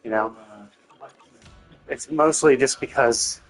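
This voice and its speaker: A man's voice: mid-range voice, slow, soft background music. A man doing casual live game commentary, thinking aloud in a rambling way and swearing casually.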